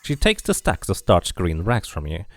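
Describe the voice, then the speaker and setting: A man with a low voice and a noticeable creak streams a game. He moves between reading narration aloud and casual musing, with lively intonation. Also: moderate pace, no echo. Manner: muses aloud while playing. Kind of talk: casual playthrough commentary and read-aloud narration.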